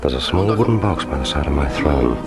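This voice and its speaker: An actor playing a cool, wry British secret agent, narrating his thoughts in a deadpan, gravelly voice in a radio play. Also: extremely low pitch, fairly quick. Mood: wary, deadpan. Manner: deadpan, wry, inner monologue. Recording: film score music behind voice.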